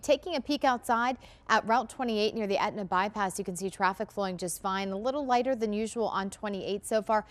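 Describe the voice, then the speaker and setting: A woman presenting a live television traffic report in a fluent, orderly way. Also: medium pitch.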